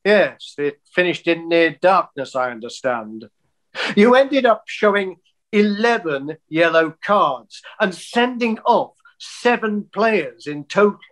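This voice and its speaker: An actor playing a polite, exasperated county football official, formal and tactful, on a video call. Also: male voice, high-pitched, animated, takes it slowly, fairly bright tone, heavily acted. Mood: dry, exasperated. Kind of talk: filmed comedy acting on a video call.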